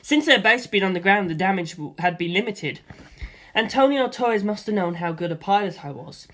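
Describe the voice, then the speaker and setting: Expressive audiobook-style storyteller. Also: mid-range voice. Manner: acts out sound effects with gusto. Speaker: narrator reading a novel aloud. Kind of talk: expressive storytelling, first-person narration.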